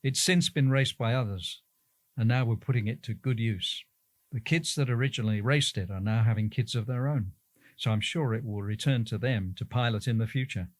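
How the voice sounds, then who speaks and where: A man's voice: mid-range voice, normal speed, dry recording. A man reading out prepared interview answers.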